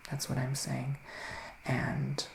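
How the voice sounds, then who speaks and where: A man as a hushed, creaky male witch psychic. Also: medium pitch, completely flat delivery. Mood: hushed, soothing. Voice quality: breathy soft voice drifting into whispers. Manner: hushed, halting, intimate address to listener. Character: male witch psychic, soothing, earnest fortune teller. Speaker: ASMR performer playing a psychic reader. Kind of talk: ASMR roleplay, improvised tarot reading. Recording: roomy echo, faint background noise.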